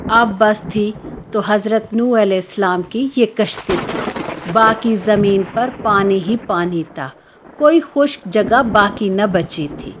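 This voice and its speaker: A woman narrating a scripted children's story in a measured, expository way. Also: mid-range voice, background noise. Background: background music.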